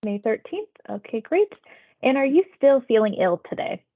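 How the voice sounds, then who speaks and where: A woman's voice: on the high side, big pitch swings, normal speed. A woman working as a public health phone interviewer, asking survey questions in a polite, procedural, conversational way. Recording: tinny phone-like audio.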